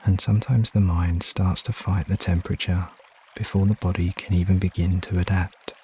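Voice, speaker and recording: Male meditation guide, deep register, soothing and unhurried with frequent pauses, trickling water behind. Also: slight background noise. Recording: steady water ambience behind voice. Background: trickling stream water sounds.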